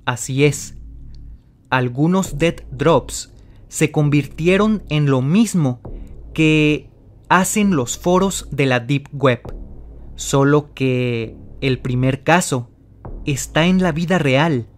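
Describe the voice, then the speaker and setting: Male mystery narrator for voice-over, dramatic and direct, a touch high-pitched. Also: slow. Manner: dramatic, addresses the viewer directly. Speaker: channel host narrator.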